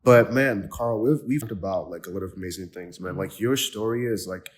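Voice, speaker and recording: A man from Nigeria hosts a casual podcast chat in a fairly low voice. He talks eagerly, with plenty of "like" fillers and repeated false starts as he builds his point. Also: a little fast. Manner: enthusiastic, many "like" fillers and restarts. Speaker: podcast host.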